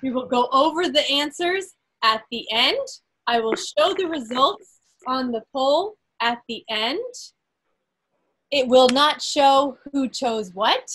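Slow, high-voiced woman reading quiz questions. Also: fairly bright tone, a little hiss or hum, rough recording. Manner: deliberate, pausing between phrases. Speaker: quizmaster. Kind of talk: reading quiz questions aloud to an online group. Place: indoors on a group video call. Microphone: video call microphone.

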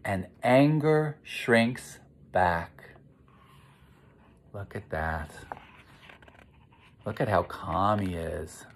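A middle-aged American man reading aloud and gently guiding children, explaining things and putting questions to his listeners. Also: low-pitched, extremely slow, vocal fry, pauses often, slight background noise. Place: small living room, indoors.

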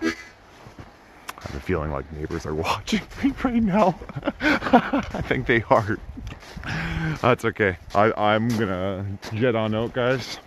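A man's voice: lowish voice, sing-song, slow, fairly bright tone. A man in his thirties rambling casually to camera as an exploration vlogger, with informal slang. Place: outdoors in snow and inside a car. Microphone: handheld camera at arm's length. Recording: dull lo-fi camera audio, clear background noise.

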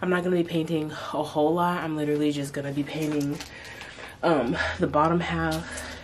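A woman's voice: sits low, little pitch movement, moderate pace, a little hiss or hum. A young woman vlogging casually from her bedroom, talking close to the camera and rambling with lots of "like" and "um". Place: bedroom at home, indoors. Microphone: camera close in front of her face.

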